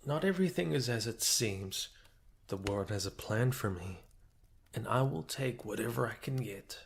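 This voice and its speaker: A man playing a soft-hearted, wounded lord in a fantasy audio roleplay, gentle, formal and somewhat hesitant. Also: fairly low pitch, animated, moderate pace, vocal fry, a little hiss or hum. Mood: reflective.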